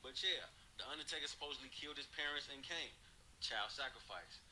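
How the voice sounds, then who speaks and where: A male podcast co-host with an intensely bright, cutting voice at a middle pitch. He rambles casually and insistently, with profanity, over slightly noisy audio. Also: brisk.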